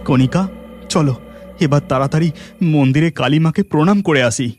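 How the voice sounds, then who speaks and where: A man's voice: a little high, close to an everyday voice. A man voicing a brusque, bossy, impatient village bridegroom in a cartoon story, with a commanding manner. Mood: urgent. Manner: commanding, hurried. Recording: music behind the voice.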